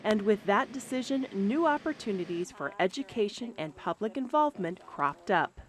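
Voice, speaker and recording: Lively female TV narrator. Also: mid-range voice, normal speed. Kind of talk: scripted narration.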